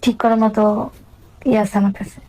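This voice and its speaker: A woman's voice: fairly high pitch, level tone, a little fast, dark tone, rough recording. A woman in a casual phone conversation, answering in a reassuring, brief way.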